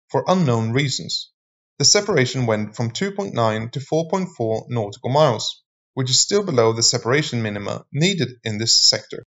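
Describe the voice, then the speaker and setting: Dull-sounding male narrator, formal and scripted. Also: mid-range voice.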